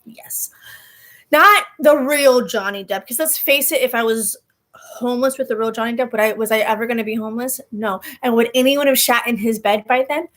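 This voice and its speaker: A young woman in her twenties telling a personal story in casual chat, animated, laughing and going off on tangents. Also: a little high, moderate pace. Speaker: vlogger.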